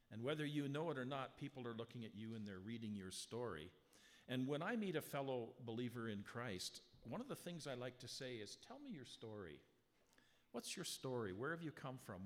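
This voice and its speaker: Strong-voiced male pastor in a reverberant church. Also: mid-range voice. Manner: conversational preaching with rhetorical questions; slow, emphatic scripture reading. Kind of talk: sermon. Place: church sanctuary. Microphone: handheld or stand mic, sound adjusted live. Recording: long hall reverb.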